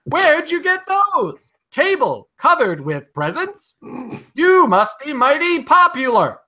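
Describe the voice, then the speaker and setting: A man playing a chipper 1940s radio announcer and pitchman, with an emphatic, folksy sales delivery. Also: wildly swooping pitch, slow pace, pushed and bright, heavily acted. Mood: jolly.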